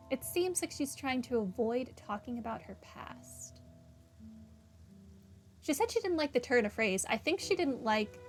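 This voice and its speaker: Higher-voiced woman gamer thinking aloud. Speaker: gamer commentator. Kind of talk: casual playthrough commentary with dialogue read aloud.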